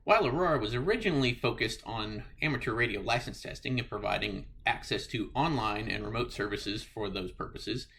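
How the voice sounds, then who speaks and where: Bright, forceful man giving a formal presentation. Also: on the low side. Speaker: incoming club president. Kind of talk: scripted presentation.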